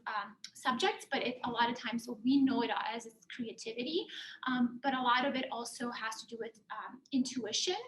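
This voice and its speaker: A woman with a mixed Bosnian-German accent, a coach giving a calm, reflective workshop presentation in a classroom. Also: a little high, slight background noise. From Bosnia, raised in Germany. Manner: calm, reflective, many fillers. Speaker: career and life coach presenting. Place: classroom in a coworking space. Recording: echoey room.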